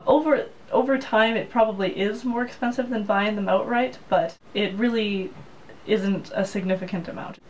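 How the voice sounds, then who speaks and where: A woman's voice: mid-range voice, fairly even intonation, moderate pace, recorded from a distance, slight background noise, low-quality recording. A young woman talking to camera in a small apartment room, explaining things in a plain, practical way. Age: young adult. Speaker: vlogger. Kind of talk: casual talk to camera. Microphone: camera mic, head-and-shoulders framing.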